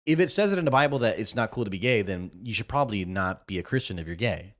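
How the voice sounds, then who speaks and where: A man's voice: on the low side, lively intonation, fast talker, fairly bright tone. A young man hosting a casual podcast chat, rambling with fillers and cracking off-color jokes. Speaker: podcast host.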